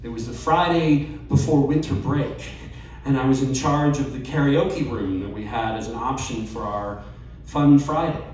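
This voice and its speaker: A man reading a personal essay aloud at a live storytelling night, measured and wry. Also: mid-range voice, a little mellow, far from the mic, a little hiss or hum. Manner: slow, measured delivery with wry asides. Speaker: reader at a live storytelling event. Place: bar or event venue, live audience. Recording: very echoey room, voice off-mic, faint ambience.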